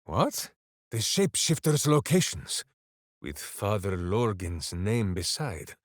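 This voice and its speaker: An actor playing a big-hearted, simple-minded berserker ranger in a video game, declaiming grandly and earnestly. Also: male voice, fairly low pitch, big pitch swings, slow pace, no room sound, studio quality, heavily acted. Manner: grandiose third-person declamation, earnest and theatrical. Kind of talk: video game character voice lines.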